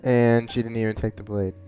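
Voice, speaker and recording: A man's voice: medium pitch, level tone, moderate pace, warm tone, dull audio, soft background music. A man commenting casually while playing a game, musing and thinking aloud with small chuckles. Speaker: gameplay commentator. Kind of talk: casual let's-play commentary.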